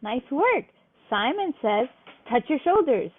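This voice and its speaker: Woman, high pitch, slow pace, playful children's game leader, thin telephone-like sound. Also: sing-song. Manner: playful, addressing children directly. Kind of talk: leading a Simon says game for small children. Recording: thin phone-like audio.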